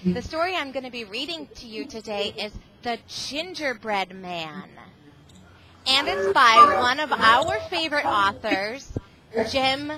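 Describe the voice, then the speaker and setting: A woman's voice: a little high, pushed and bright, a little hiss or hum. A middle-aged woman reading aloud to a class of children over a video call, exclamatory and often asking them questions. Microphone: video call microphone.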